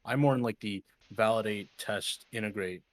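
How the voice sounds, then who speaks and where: Low-voiced young man, slangy casual talk. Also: moderate pace, no room sound. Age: 20s. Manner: loose, slangy, swearing. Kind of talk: casual chat among friends.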